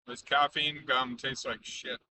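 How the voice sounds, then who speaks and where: A man's voice: medium pitch, flat delivery, unhurried, sharp tone, slight background noise. A man vlogging in an offhand, wry, musing way. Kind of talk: casual vlog chat.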